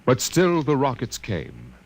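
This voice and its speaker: A man's voice: mid-range voice, huge pitch swings, a little slow, gravelly, heavily acted. A man playing a solemn, brooding storyteller, narrating an old radio drama in dramatic style. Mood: hushed suspense. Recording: old radio recording with music behind.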